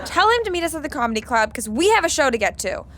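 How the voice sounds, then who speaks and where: High-voiced, excitable Laura. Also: female voice, fast talker, crowd noise. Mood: bossy. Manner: exclamatory, emphatic. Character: Laura, excitable, easily flustered young woman in sitcom. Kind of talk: podcast sitcom acting. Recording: clear background noise, vehicle ambience.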